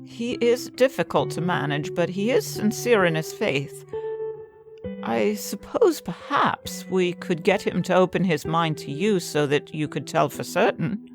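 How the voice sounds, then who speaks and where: A woman's voice: on the low side, lively intonation, unhurried, music in the background. A woman playing a hard, devout middle-aged prioress, stern and weary, firm and forthright in a dramatized fantasy audiobook. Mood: grieving.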